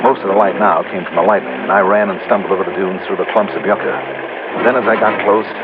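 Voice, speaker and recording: A man's voice: sits low, fast, lightly acted. An actor playing a cool-headed, plain-spoken male detective in a 1950s radio drama, terse and matter-of-fact. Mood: gripping narration. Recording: noisy, narrow-band old radio recording.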